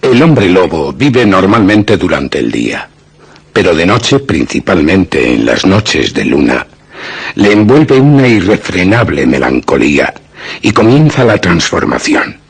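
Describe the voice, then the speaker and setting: Male narrator, gravelly fry, deep, animated intonation, slow scripted horror storytelling. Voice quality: very raspy, gravelly.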